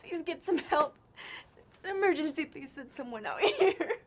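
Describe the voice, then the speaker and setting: A man's voice: animated, moderate pace. A man voicing a scheming wife who whispers to herself and fakes panic. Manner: hushed whispering, then shrill fake panic. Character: scheming wife faking distress.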